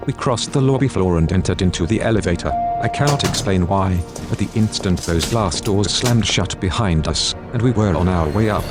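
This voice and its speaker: A man's voice: low voice, lightly acted. A man playing a grim, determined armed adventurer who narrates his own story in a solemn, dramatic audio drama style. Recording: loud music over the voice.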